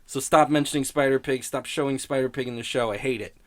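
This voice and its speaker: A man's voice: medium pitch, steady tone, fast, dry recording. A man giving a casual, rambling spoken review in a blunt, sweary, grumbling manner. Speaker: host of a TV criticism show.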